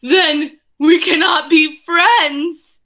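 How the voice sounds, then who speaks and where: A woman voicing an anxious, melodramatic male storybook elephant, with halting, drawn-out words and a dramatic delivery. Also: very high-pitched, steady tone, bright, forceful tone, exaggerated voice. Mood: despairing.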